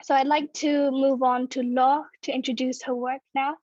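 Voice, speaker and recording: A woman's voice: high-pitched, little pitch movement, moderate pace, a little mellow, no room sound, highs cut off. A young woman moderating a panel, speaking earnestly and thanking the speakers. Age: young adult.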